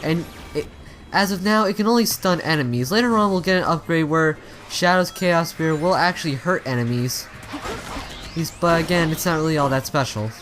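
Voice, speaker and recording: A man's voice: fairly high pitch, moderate pace, a bit bright, soft background music. A man giving casual let's play commentary, rambling and often stumbling and rephrasing as he goes. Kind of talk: casual video game commentary.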